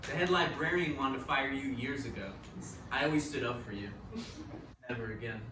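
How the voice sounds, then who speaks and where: A man's voice: medium pitch, a little fast, lightly acted. A man playing a betrayed, earnest screenwriter friend in a comedy table read. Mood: cold, hurt. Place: live reading in a performance room. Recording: echoey, noisy room, distant mic.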